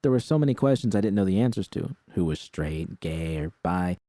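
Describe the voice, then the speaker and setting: Guy reading a story out loud, low mellow voice, really dry recording. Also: lively intonation, fairly quick, dry recording. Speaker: audiobook-style narrator of fan fiction. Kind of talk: scripted first-person story narration.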